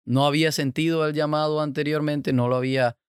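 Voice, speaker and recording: A young Cuban man with a Cuban Spanish accent, telling his church story in a casual, halting way full of fillers. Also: on the high side, fairly even intonation, clean tone, dry recording, clean studio sound. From Cuba. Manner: halting, many fillers and restarts. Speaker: podcast co-host. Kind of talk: casual testimony chat.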